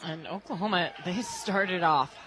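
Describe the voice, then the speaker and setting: A woman's voice: fairly low pitch, unhurried, rough recording. An American woman giving conversational color commentary on a live sports radio broadcast. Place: stadium radio booth.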